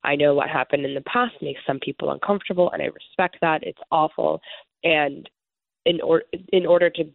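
A woman from Somalia, a congressional candidate, giving earnest, measured answers as an interview guest over the phone. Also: low voice, steady tone, vocal fry, no echo. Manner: earnest, measured, political talking points. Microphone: phone call. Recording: tinny phone line, dry.

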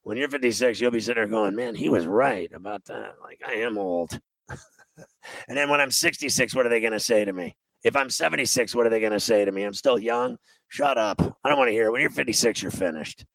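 A man hosts a radio show, talking in a rough, grating, gravelly voice. He rambles and mocks himself through a long story, packing his talk with fillers. The sound is dry and close to the mic. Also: medium pitch, animated, fairly quick, fairly bright tone, no echo, rough recording. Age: 50s. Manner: rambling, self-mocking, full of fillers.

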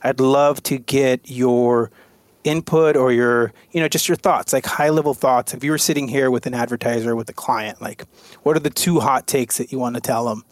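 A man's voice: mid-range voice, steady tone, normal speed, no echo. Man hosting a podcast roundtable. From United States West Coast. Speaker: podcast host.

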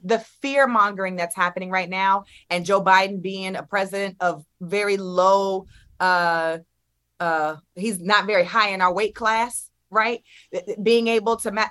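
A woman's voice: mid-range voice, fairly bright tone. A woman in her thirties, a guest in a casual political chat, speaking outspokenly and laughing easily. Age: 30s.

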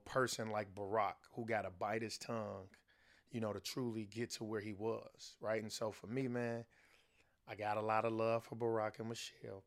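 A man from Chicago with an American accent, talking casually and conversationally on a podcast. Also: lowish voice. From South Side of Chicago, United States.

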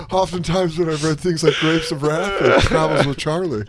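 A man's voice: a little high, moderate pace. A man co-hosting a comedy podcast with wry banter and frequent laughter. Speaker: podcast co-host. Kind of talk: casual comedic chat.

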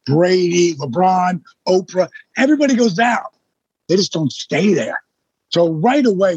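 A man in his sixties with a husky voice, a guest telling his story in a blunt, emphatic way with some swearing. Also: on the high side, a little mellow, no room sound, lo-fi sound. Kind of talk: casual storytelling in an interview.

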